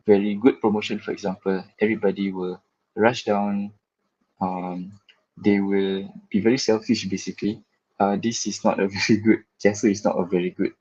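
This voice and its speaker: A man with a Singaporean English accent gives a class presentation in a low, husky voice. He keeps a flat, level delivery and pauses often on fillers. The sound is dull and lo-fi. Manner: measured, with frequent filler pauses. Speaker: guest presenter.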